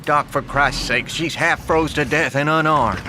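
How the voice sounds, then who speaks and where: An actor playing a plainspoken, folksy truck driver, wry and placating, in an audio drama. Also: male voice, on the high side, measured pace, over music, lightly acted. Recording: noisy outdoor vehicle ambience.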